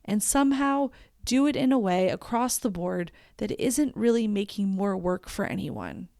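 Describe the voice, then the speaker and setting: Smooth-voiced woman podcast host. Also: medium pitch, animated, moderate pace, a little mellow, no echo, clean studio sound. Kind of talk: interview and scripted intro.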